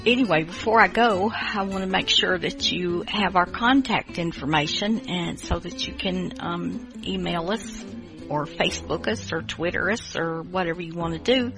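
A woman's voice: fairly low pitch, moderate pace, music in the background. A woman hosting a podcast in a casual, rambling chat full of fillers. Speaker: podcast host.

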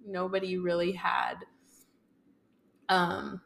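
A woman's voice: fairly low pitch, little pitch movement, slow, creaky, fairly bright tone, a little hiss or hum. A young woman, speaking casually and tentatively as a podcast guest, thinking as she goes. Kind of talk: casual personal conversation.

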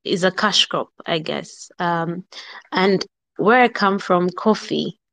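Slow, measured woman, low voice. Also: vocal fry, a bit bright, dry recording. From central Uganda. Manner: measured, thoughtful, frequent "um" pauses. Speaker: guest, startup co-founder. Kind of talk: podcast interview answers. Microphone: remote call-in on a live audio chat.

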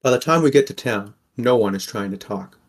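A man's voice: medium pitch, a little fast, natural voice. A man playing a wary, sardonic sixteen-year-old boy who narrates an audio drama in the first person. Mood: wry, reflective.

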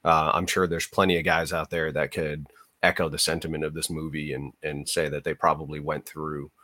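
A man's voice: extremely low pitch, level tone, a little fast, sharp tone, dry recording. A man co-hosting a podcast, discussing films in an earnest, conversational way. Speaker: podcast co-host. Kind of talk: casual film discussion.

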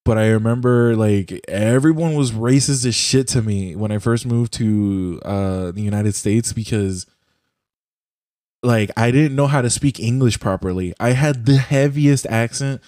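A man's voice: mid-range voice, unhurried, a little mellow, dry recording, studio quality. A young man from Puerto Rico whose first language is Spanish, chatting casually and profanely over a voice call, rambling with lots of "bro" and "like". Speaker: podcast host. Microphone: headset or desk mic on a voice call.

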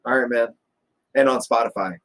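A young adult man co-hosting a casual podcast, riffing and joking in an excitable, lively way. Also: medium pitch, moderate pace. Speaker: podcast co-host. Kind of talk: casual chat.

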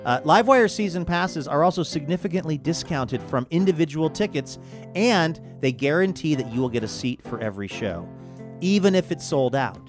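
A man's voice: a little high, lively intonation, normal speed, over music. A man hosting a radio show, talking in a conversational, enthusiastic way with wry asides. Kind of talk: upbeat show promo and interview talk.

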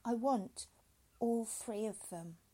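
A woman's voice: medium pitch, normal speed, darkish tone, natural voice. A woman voicing a weary, sullen expectant father with a terse, bitter manner in a story reading. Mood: quiet, pleading. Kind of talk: short story dramatic reading.

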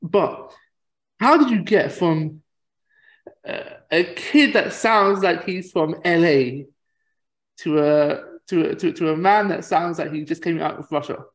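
Slightly high-voiced male podcast host. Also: measured pace. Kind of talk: casual interview chat.

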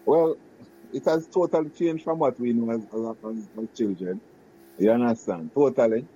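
A Jamaican man in his fifties talks through old memories in a mellow, dark voice, slipping into patois and restarting his sentences often. The recording sounds a little dull and muffled. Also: mid-range voice, a little hiss or hum. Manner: patois-laced, halting, many restarts and fillers. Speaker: guest recalling childhood. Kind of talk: casual reminiscing chat.

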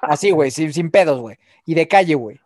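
A man's voice: fairly high pitch, animated, fast talker, darkish tone, no echo, clean studio sound. A man with a Mexican accent hosting a podcast, teasing his co-hosts and swearing freely in casual banter. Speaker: podcast host. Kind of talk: casual chat.

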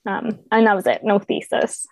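A young woman in her twenties, a podcast guest, talking casually in a hesitant way with many fillers and soft laughs. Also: medium pitch, little pitch movement, moderate pace, vocal fry, no echo. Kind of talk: casual interview chat.

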